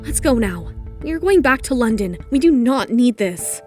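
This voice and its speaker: Woman playing proud young mom, defiant n emotional, high voice w lots of pitch movement, audio drama. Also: expressive, moderate pace, a little mellow, soft background music, lightly acted. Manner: indignant, emotional. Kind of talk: melodramatic audio drama acting.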